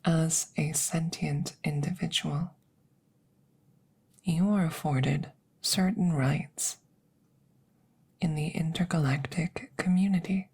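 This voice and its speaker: Woman playing a hushed, slow-talking alien examiner. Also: deep voice, steady tone, full character voice. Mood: clinical, hushed. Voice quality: soft, breathy, often whispered. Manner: formal, clinical, precise, measured phrasing. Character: formal, curious female alien examiner interviewing a rescued creature. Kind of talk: ASMR audio roleplay.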